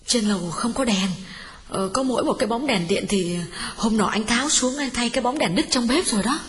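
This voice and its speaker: A man's voice: very high-pitched, a little fast, a bit bright, voice pushed up. A man voicing a frightened young wife who trembles and pleads in a ghost story. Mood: urging. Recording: music underneath, long reverb.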